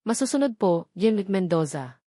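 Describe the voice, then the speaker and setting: A woman's voice: mid-range voice, sing-song, moderate pace, mellow, dry recording, clean studio sound. A woman with a Filipino accent voicing a polite, worried lawyer answering his boss in a story. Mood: obedient. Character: deferential, startled male lawyer on the phone.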